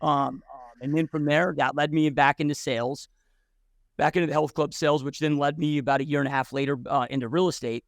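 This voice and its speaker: A man's voice: a little high, steady tone, brisk, dry recording, studio quality. A man in his forties, a podcast guest who tells his story bluntly and casually, swearing freely with many restarts and "dude"s.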